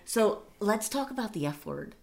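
A woman's voice: mid-range voice, expressive, normal speed, vocal fry. A woman co-hosting a casual money podcast, chatty and laughing often. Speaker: podcast co-host.

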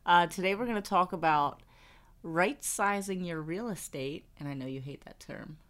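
Low-voiced American woman podcaster. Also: normal speed, fairly bright tone. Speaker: podcast co-host. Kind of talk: casual personal finance podcast chat.

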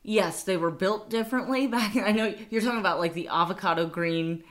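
A woman's voice: mid-range voice, fairly quick, a bit bright. A woman co-hosting a casual money podcast, chatty and laughing often. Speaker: podcast co-host.

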